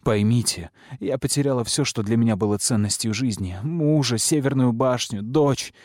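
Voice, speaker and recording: A man's voice: mid-range voice, normal speed, darkish tone, no room sound, studio quality, close to an everyday voice. A man voicing a grieving widowed noblewoman in an audiobook, pleading and full of remorse. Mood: anguished confession. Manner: anguished, pleading. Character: proud, grieving widowed noblewoman, guilt-ridden mother.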